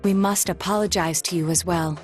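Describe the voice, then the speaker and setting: A woman playing a composed, analytical teenage detective in a video game, with measured, formal and precise speech. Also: on the low side, fairly even intonation, faint music behind, natural voice. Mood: sober, respectful. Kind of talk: English game voice acting.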